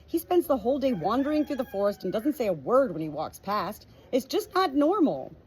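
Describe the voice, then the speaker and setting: A woman's voice: a little high, sing-song, fairly quick, darkish tone, voice pushed up, low-quality recording. A woman voicing a haughty, scornful mother with a dramatic, contemptuous way of talking. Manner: contemptuous, dramatic, screeching when triumphant. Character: haughty, scornful, theatrical mother who fancies herself psychic.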